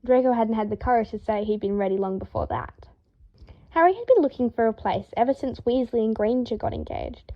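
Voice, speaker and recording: A woman reading a story aloud in a lively, expressive way, acting out the dialogue. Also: on the high side, a little fast, lo-fi sound. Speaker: fan fiction reader.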